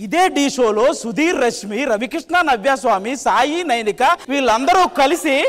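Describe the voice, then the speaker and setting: A man's voice: extremely high pitch, expressive, normal speed. A man doing a comic stage monologue in a theatrical, mock-pleading manner. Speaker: comedian team leader. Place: TV studio stage. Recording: music playing behind the voice.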